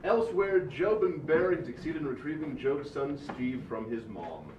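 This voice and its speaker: A man reading the narrator part of a comedy script in a deadpan mock-documentary way. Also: a little high, normal speed, a little hiss or hum. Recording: distant, roomy, dull audio.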